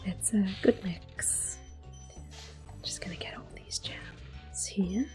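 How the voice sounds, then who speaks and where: A woman narrates gameplay in ASMR style. She mostly whispers, breathy and gentle, in an unhurried, reassuring way, over faint music. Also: fairly low pitch, wildly swooping pitch. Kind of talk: soft-spoken whispered ASMR game commentary.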